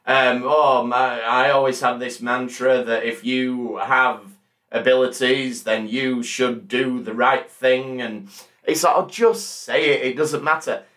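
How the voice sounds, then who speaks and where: A man's voice: mid-range voice, fairly even intonation, slow, a bit bright. A man hosting a casual podcast about TV and film, chatty, sweary and acting out quoted lines. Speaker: podcast host.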